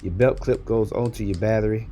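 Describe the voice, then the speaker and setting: A man's voice: on the low side, a little fast, dark tone, slight background noise. A man giving a casual, folksy product walkthrough as a reviewer in an unboxing video.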